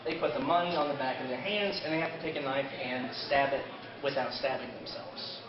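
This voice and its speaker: A man's voice: medium pitch, a little fast, crowd noise. A man presenting an informal convention panel about an anime, telling story events to an audience as if he took part. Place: convention panel room, indoors. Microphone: distant camera microphone. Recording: roomy, dull, distant camera with audience.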